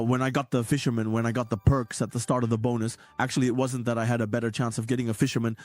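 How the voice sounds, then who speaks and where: A hoarse man giving excited, repetitive casino slot commentary as a streamer. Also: medium pitch, dry recording.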